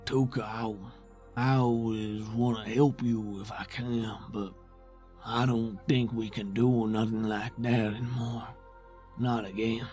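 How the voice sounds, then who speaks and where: A man acts out a weary rustic creature in a tabletop roleplaying game. The speech is very slow and halting, folksy and ungrammatical, protective in temper, with faint music underneath. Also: medium pitch, little pitch movement, darkish tone, natural voice. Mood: grave, apologetic.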